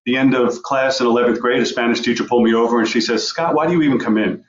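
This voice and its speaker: A man from Long Island with a faint New York accent, giving a fast, informal webinar presentation over a video call. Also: medium pitch, fairly even intonation, a little hiss or hum. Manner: fast-talking, informal and direct. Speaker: guest speaker. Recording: echoey, dull video call audio.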